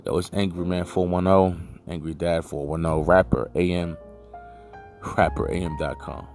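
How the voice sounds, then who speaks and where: A man with a deep voice hosting a podcast, giving blunt, emphatic opinions in casual, colloquial speech. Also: fairly even intonation, normal speed, a little mellow, soft background music. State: just woke from a nap. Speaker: podcast host. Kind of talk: casual solo opinion commentary.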